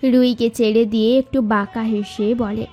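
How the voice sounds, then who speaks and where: A woman's voice: a little high, steady tone, normal speed, mellow. A woman narrator reading a scripted romantic story. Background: background music.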